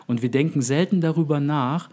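Slightly hoarse male podcast host, mellow tone, thoughtful and rambling. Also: a little high, a little fast. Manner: thoughtful, explains at length with many fillers. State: under the weather, voice a bit hoarse. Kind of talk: casual podcast chat.

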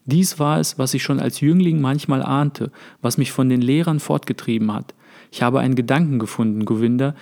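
A man reading aloud as a wise, calm sage explaining his thoughts to a friend, in a measured, earnest way. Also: medium pitch, creaky, close to an everyday voice. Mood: contemplative. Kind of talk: read-aloud literary dialogue.

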